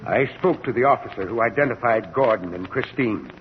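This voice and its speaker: Actor as an earnest radio-drama doctor, long reverb. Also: male voice, fairly low pitch, animated, a little fast, lightly acted. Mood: grave, persuasive. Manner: solicitous, probing, emphatic. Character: earnest, insistent middle-aged male doctor, a concerned old friend. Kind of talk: old-time radio drama acting. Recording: dull reverberant vintage radio recording, faint noise.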